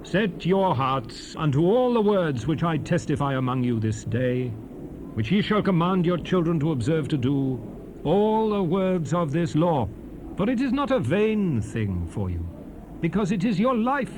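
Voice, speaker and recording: A man's voice: a little high, big pitch swings, unhurried. An actor playing Moses as a stern, fervent aged prophet, declaiming King James scripture in a solemn, emphatic, oratorical way. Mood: earnest exhortation. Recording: noisy 1970s cassette rip with hiss.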